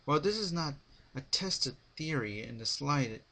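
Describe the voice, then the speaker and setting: A man's voice: on the high side, animated, moderate pace, sharp tone, exaggerated voice. A man voicing a dignified, caring female pony ruler's formal letter in a dramatic story reading. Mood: grave, concerned.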